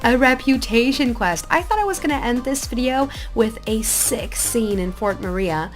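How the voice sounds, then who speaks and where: A woman giving casual, chatty and expressive gameplay commentary as a video creator. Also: a little high. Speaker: video game YouTuber. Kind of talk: casual gameplay commentary, reading quest dialogue aloud. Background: background music.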